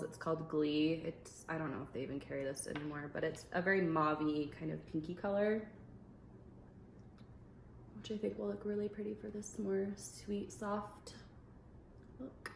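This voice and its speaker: A woman's voice: lowish voice, creaky. A young adult woman chatting in an upbeat way as she explains her makeup steps. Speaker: makeup vlogger. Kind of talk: casual makeup tutorial chat. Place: indoor room at a makeup table. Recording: echoey room with faint noise.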